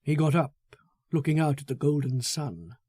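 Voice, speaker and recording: A man narrating a literary story as an audiobook, dramatic, deliberate and intense in his delivery. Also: fairly high pitch, lively intonation, dark tone, breathy edge, dry recording, clean studio sound.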